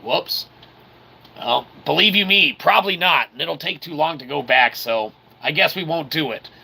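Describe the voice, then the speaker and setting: Male game commentator, fairly high-pitched with heavy vocal fry, joking and mock-formal. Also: normal speed, sharp tone. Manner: wordy, mock-formal phrasing with playful sound effects. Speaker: host of a gameplay video channel. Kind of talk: casual game commentary with running jokes.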